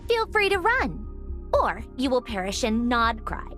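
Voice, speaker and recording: A woman playing a sharp, blunt, analytical young woman in a fantasy video game. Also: on the high side, a little slow, faint music behind. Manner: animated, blunt, sardonic.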